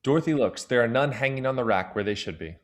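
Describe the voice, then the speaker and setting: A man's voice: medium pitch, quick pace. A man reading scripted stage directions as the narrator of an audio drama.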